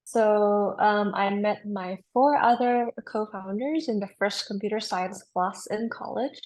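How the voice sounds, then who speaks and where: Young woman from Indonesia, startup founder guest, upbeat and explanatory, mid-pitched voice, casual interview talk with ums. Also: measured pace, darkish tone. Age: young adult.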